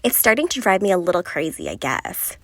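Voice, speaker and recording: A woman playing a lovelorn, frustrated passenger venting confessionally in an animated series. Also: lowish voice, fairly quick, no room sound, exaggerated voice. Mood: wistful, frustrated.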